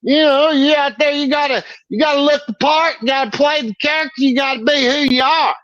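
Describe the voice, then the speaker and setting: Brash, high-voiced, swearing male co-host. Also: steady tone, brisk, fairly bright tone, dry recording, muffled recording. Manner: brash, profane, boastful. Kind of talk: casual podcast banter.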